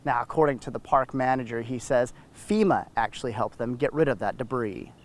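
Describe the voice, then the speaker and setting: A man's voice: medium pitch, a little fast. A man with an American accent giving scripted television news reports.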